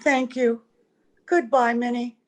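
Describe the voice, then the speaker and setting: Woman acting high-voiced anguished radio-drama wife. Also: little pitch movement, slow pace, background noise. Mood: pleading. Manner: earnest, pleading, dramatic. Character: anguished wife and mother who can never grow older. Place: at home, recorded over a video call.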